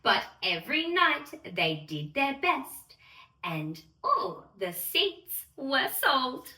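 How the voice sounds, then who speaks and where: A woman playing a sweet, excitable young fairy who gushes in a sing-song way to little children as she hosts a bedtime story read-aloud. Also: high voice, slow pace, full character voice. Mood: storytelling wonder. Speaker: children's storyteller and channel host. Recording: roomy echo, distant microphone.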